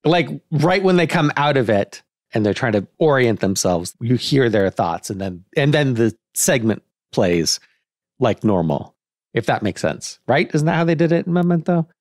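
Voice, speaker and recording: A man's voice: mid-range voice, big pitch swings, moderate pace, no room sound, studio quality. A man talking casually as a podcast co-host, animatedly thinking aloud through ideas in a brainstorming discussion.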